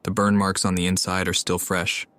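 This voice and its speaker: A man playing an observant, deadpan young man in a video game, speaking deliberately. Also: low-pitched, dry recording. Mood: analytical.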